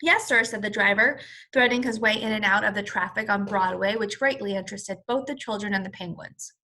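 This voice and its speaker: A woman reading a children's story aloud as a teacher, in an expressive, explanatory manner. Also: mid-range voice, brisk. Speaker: first-grade teacher.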